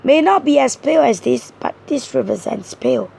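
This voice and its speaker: A hoarse woman talks through a tarot spread, explaining each card earnestly and point by point. Her voice sits high, with a heavy gravelly fry running through it, and a husky, breathy edge. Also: expressive, normal speed, darkish tone. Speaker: tarot reader. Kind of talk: tarot card reading monologue.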